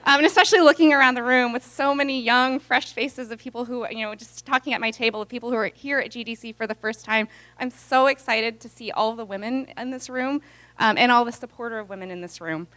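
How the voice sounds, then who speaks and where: A woman in her thirties giving earnest, excited welcoming remarks with frequent fillers. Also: on the high side, fast. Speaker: event speaker.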